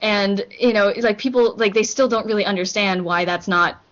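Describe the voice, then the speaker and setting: A woman's voice: medium pitch, normal speed, a bit bright. A young woman from Hong Kong chatting casually on a podcast, rambling with lots of fillers and restarts. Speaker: podcast co-host. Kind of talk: casual group chat. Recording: muffled lo-fi recording.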